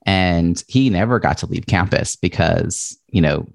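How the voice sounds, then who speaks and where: A male podcast host, chatty and expressive, introducing and talking with a guest. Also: low voice, unhurried, vocal fry, dry recording, studio quality. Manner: chatty, says he has a loud voice and big presence. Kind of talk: interview podcast.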